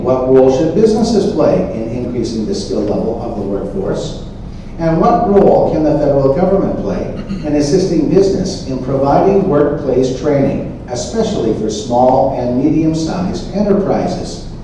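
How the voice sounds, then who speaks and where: A man's voice: medium pitch, lively intonation, normal speed, mellow. A man moderating a forum, formally reading questions aloud. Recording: echoey room, distant mic, faint noise.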